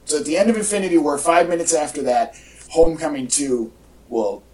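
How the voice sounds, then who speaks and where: A man's voice: fairly high pitch, lively intonation, fairly quick, darkish tone, recorded from a distance. Enthusiastic man chatting, off-mic. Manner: enthusiastic, rambling. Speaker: podcast co-host. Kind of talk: casual fan chat about superhero movies. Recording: echoey, off-mic home podcast audio.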